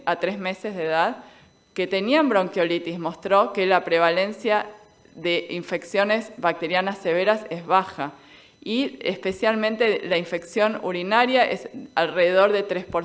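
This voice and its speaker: Casting: woman with an Argentine accent, slightly low voice, as a didactic medical lecturer explaining evidence to colleagues. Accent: Argentine Spanish.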